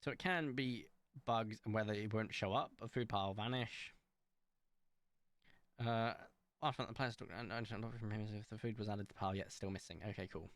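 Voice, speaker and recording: A man streaming a game, chatting casually in a calm, smooth voice as he thinks aloud and rambles with fillers. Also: on the low side, a little fast, no room sound. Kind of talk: casual gaming livestream chat.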